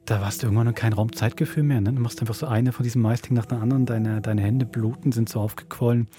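A man's voice: medium pitch, steady tone, fast talker, mellow. A man hosting a podcast in casual, chatty conversation. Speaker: podcast host and producer. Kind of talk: casual chat.

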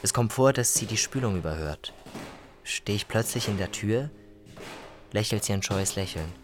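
A man narrating a literary story for a radio feature in a measured storytelling manner. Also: fairly low pitch, lively intonation. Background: music.

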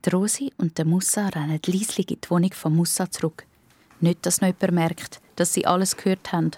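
Swiss German woman narrating, slightly low voice. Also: steady tone, a little fast, warm tone, no room sound. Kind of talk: scripted children's audio drama narration.

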